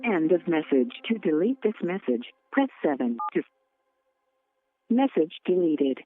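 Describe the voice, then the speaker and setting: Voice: medium pitch, big pitch swings, a little slow, faint music behind. Tinny automated voicemail system voice. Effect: telephone line. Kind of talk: recorded phone menu announcements. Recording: tinny narrow phone line.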